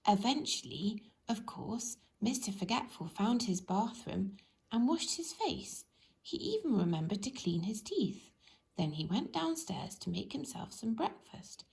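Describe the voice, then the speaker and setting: A woman's voice: medium pitch, very animated, measured pace, creaky, a little mellow. A woman storyteller narrating a children's storybook.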